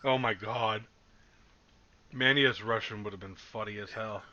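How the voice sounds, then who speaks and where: A man chatting excitedly and casually as a player in an online tabletop game, slangy and swearing freely. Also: lowish voice, moderate pace, highs cut off.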